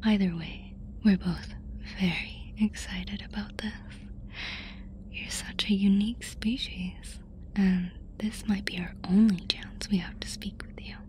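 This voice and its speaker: A woman playing a curious, doting alien fascinated by humans in an ASMR roleplay, whispering softly and breathily in a casual, affectionate way. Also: mid-range voice, fairly even intonation, a little slow, natural voice. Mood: friendly, eager.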